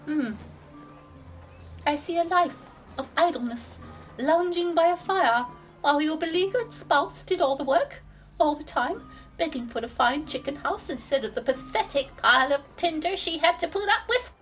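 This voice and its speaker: A woman's voice: high voice, animated, normal speed, a bit bright, faint music behind, low-quality recording. An actress playing a bossy, caustic woman with a theatrical, formal manner, like a soothsayer in a comedy audio drama. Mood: mock-mystical, stern. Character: tart, bossy woman posing as mysterious soothsayer.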